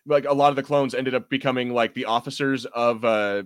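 A man's voice: medium pitch, fairly even intonation, fairly quick, smooth, dry recording, studio quality. A man hosting a podcast in casual fan chat, talking in a rambling, enthusiastic way. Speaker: podcast host.

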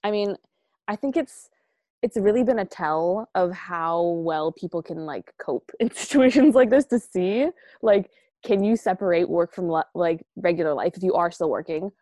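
A woman co-hosting a casual podcast, talking in a relaxed, candid way and laughing often. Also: on the low side, normal speed, dry recording, studio quality. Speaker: podcast co-host. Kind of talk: casual chat.

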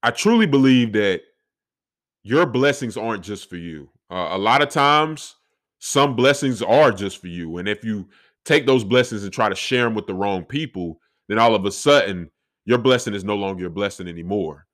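A young man with a deep voice talking reflectively on his solo podcast, with faith woven into his talk. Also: lively intonation, normal speed, fairly bright tone. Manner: reflective, faith-filled, conversational. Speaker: podcast host.

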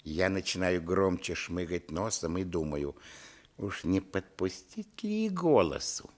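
A man's voice: deep voice, very animated, measured pace, exaggerated voice. An actor playing a grown man recalling his village boyhood, narrating a radio play in a reflective storytelling manner. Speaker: narrator telling his childhood. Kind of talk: dramatised literary narration.

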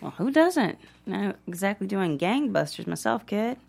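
A woman playing a cool, dry-witted but soft-hearted tavern owner in her sixties at a comedy table read. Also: medium pitch, big pitch swings, moderate pace, creaky, husky, heavily acted. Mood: wry. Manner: dry, wry.

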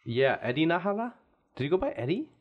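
Lively-voiced man bantering on a podcast. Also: fairly high pitch, fast, lo-fi sound. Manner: laughs often, swears, jumps between topics. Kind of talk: casual banter.

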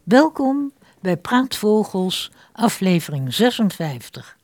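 A woman presenting a scripted introduction to a radio drama in a measured, expressive manner. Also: mid-range voice, no room sound, faint music behind.

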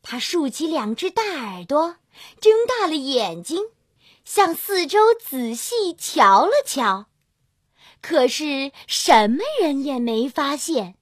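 A woman storyteller narrating a scripted fairy tale for young children in an expressive, drawn-out manner. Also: high voice, dry recording, studio quality. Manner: expressive, drawn-out storytelling with gentle sentence particles. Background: children's music.

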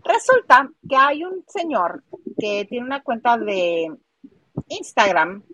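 A woman's voice: fairly high pitch, big pitch swings, slow, pushed and bright, dry recording, rough recording. A woman with a Mexican accent co-hosting a casual celebrity gossip live stream, playful and teasing as she talks and reads out viewer comments.

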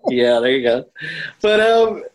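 A man talking casually as a podcast co-host, haltingly, often repeating and correcting his words. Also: mid-range voice, sing-song. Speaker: podcast co-host reviewing horror films. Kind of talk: casual film discussion.

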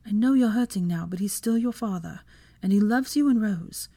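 A woman voicing a protective mother in a story, earnest, measured and consoling. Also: medium pitch, dark tone, voice pushed up, lightly acted. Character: firm, consoling mother, grown woman, protective of her daughter. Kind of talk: dramatised fan fiction reading.

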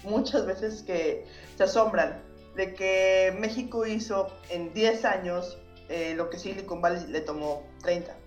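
A Mexican woman editor, a guest in an interview, relaxed and self-deprecating, with a Mexican Spanish accent. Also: mid-range voice, a little slow, reverberant, soft background music. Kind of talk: casual interview.

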